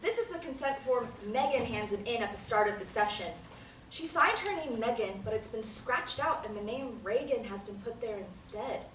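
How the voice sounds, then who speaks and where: A slightly high actress's voice playing a flippant, nosy female therapy patient in a drama reading. She is bold, casual and sardonic. The recording is roomy with faint noise. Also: expressive, moderate pace, fairly bright tone. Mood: focused, curious. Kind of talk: dramatic table reading of a play. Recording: echoey room, distant mic, noise.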